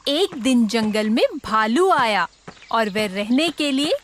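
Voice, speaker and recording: A woman's voice: fairly high pitch, animated, takes it slowly, fairly bright tone, a little hiss or hum. A woman giving scripted narration of a children's story.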